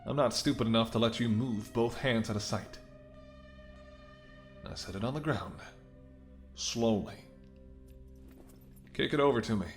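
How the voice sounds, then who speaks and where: A man's voice: medium pitch, unhurried, long pauses, soft background music, natural voice. A man playing a menacing, smirking mercenary ex-bodyguard in an audio roleplay, with a taunting, sardonic way of talking. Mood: threatening. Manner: taunting, sardonic, swearing, drops to whispers. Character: menacing, smirking male mercenary ex-bodyguard holding someone at gunpoint. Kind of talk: dramatic audio roleplay spoken to the listener.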